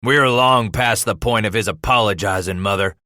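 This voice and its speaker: Man acting a gruff, thundering frontier father. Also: on the low side, normal speed, pushed and bright, no echo, studio quality. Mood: furious, thundering. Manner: thundering, rustic folksy speech. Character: angry domineering frontier father, gruff and stern.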